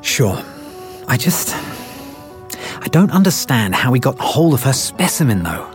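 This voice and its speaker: A man's voice: mid-range voice, very animated, a little slow, soft background music. Uneasy, earnest male scientist. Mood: shaken, earnest. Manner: hesitant, earnest. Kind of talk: full-cast audio drama acting.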